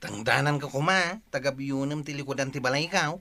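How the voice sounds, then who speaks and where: A man's voice: mid-range voice, fairly quick, sharp tone. A man playing an exasperated, chiding villager in an Ilocano-accented comedy radio drama. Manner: chiding, questioning.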